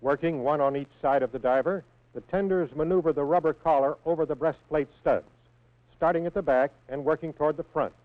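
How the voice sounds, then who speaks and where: A man reading scripted instructional narration for a training film in a measured, precise manner. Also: fairly high pitch, no echo. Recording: tinny band-limited old film soundtrack.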